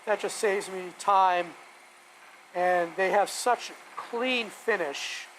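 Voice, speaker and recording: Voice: mid-range voice, slow pace, low-quality recording. A hobby woodworker chatting casually on a live stream, rambling and thinking aloud in a folksy way while working. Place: home woodworking workshop. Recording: steady vacuum noise behind voice. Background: shop vacuum running.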